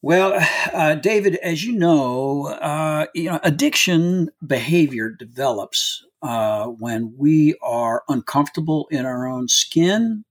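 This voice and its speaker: Husky chatty male podcast host. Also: fairly high pitch, slow pace, hardly any pauses. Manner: relaxed, chatty, frequent fillers. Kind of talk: podcast conversation.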